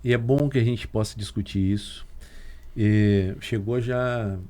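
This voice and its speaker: Man, southern Brazilian accent, podcast host, mellow tone, relaxed and jokey. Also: fairly low pitch, a little slow. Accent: southern Brazilian Portuguese. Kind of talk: podcast discussion.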